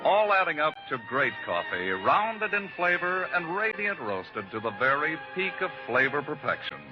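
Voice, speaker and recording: A man's voice: a little high, big pitch swings, moderate pace. A man reading a scripted 1940s radio advertisement as a polished, emphatic commercial announcer. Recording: muffled old radio recording, music behind. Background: music.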